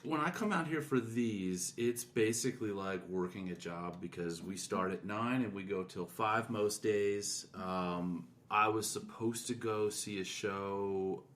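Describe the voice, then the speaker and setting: A man's voice: lowish voice, unhurried, hardly any pauses, fairly bright tone, slight background noise. A middle-aged man co-hosting a podcast in casual, conversational style. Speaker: podcast co-host.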